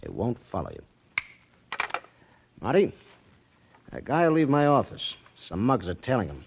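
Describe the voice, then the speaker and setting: An actor playing a gruff, suspicious casino boss in 1940s radio drama, husky and curt with slangy tough talk. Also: male voice, medium pitch, huge pitch swings, unhurried, a little hiss or hum, full character voice. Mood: curt, commanding. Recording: muffled old radio recording.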